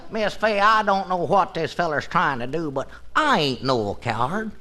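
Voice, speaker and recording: A man's voice: fairly high pitch, lively intonation, moderate pace, close to an everyday voice. An actor playing a folksy, eager old country man with a drawl in a radio comedy. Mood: puzzled. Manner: drawling, homespun. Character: folksy, eager, good-natured old country bumpkin tourist. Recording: noisy vintage radio, studio audience.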